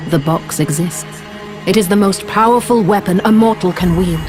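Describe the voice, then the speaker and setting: A woman's voice: mid-range voice, normal speed, lightly acted. Grave, commanding goddess played by a woman. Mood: solemn, commanding. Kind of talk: video game cutscene acting. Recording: music behind the voice.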